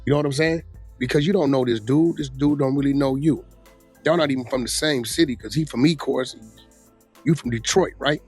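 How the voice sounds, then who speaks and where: Man, casual opinionated talk, blunt, emphatic, slangy, mid-pitched voice, music in the background. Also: brisk, darkish tone, slightly rough. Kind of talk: casual opinionated chat about street gossip. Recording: music playing under the voice.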